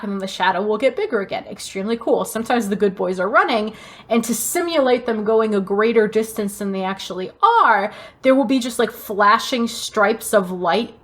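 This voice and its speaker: A woman's voice: mid-range voice, a bit bright. A woman co-hosting a podcast in casual chat, talking in an emphatic, gushing way and swearing casually. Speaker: podcast co-host. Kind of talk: casual chat, fan review.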